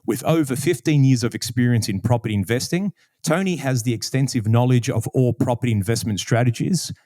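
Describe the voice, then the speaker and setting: Mellow-voiced man hosting a podcast. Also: mid-range voice, a little fast, dry recording, clean studio sound. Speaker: podcast host. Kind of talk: podcast hosting, introduction and questions.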